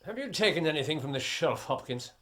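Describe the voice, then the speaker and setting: An actor playing a shrewd, unsentimental gentleman detective in a radio drama, British, brisk and wry. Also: a little high, lively intonation, slight background noise. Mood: keen, absorbed. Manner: brisk, deductive, wry, muttering to himself.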